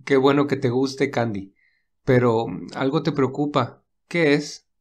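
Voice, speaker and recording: A man voicing a gentle, protective young man who speaks calmly and reassuringly in a read-aloud story. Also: mid-range voice, unhurried, close to an everyday voice. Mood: calm caring. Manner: calm, reassuring. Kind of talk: audiobook dialogue reading.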